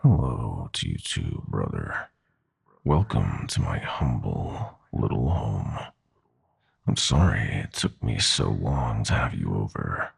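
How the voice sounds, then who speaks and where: A sadistic nightmare prince with an extremely deep voice full of gravel. He drawls slowly, mock-polite and smug, savoring his taunts. The delivery is theatrical audio-drama villainy, breathy and menacing. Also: lively intonation, heavily acted. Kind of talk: audio roleplay villain acting.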